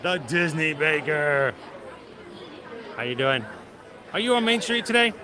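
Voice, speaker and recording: A man with a slightly raised voice hosts a relaxed livestream, joking with his viewers and often tripping over and repeating words. He is outdoors in a busy theme park on a handheld mic. Crowds chatter clearly all around him. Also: on the high side, unhurried. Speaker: livestream host. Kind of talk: casual live-stream chat with viewers.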